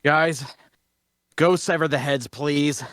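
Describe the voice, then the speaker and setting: A man's voice: a little high, fairly even intonation, slow, fairly bright tone, no echo, clean studio sound. A man playing the stern, cautious leader of a band of fantasy adventurers in an audio drama. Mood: commanding. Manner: commanding, questioning. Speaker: actor in an audio drama table read.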